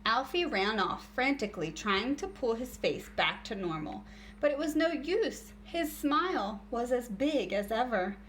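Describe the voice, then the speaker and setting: A woman teacher reading a picture book aloud to young children in an expressive, deliberate storytelling way. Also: a little high, a little hiss or hum.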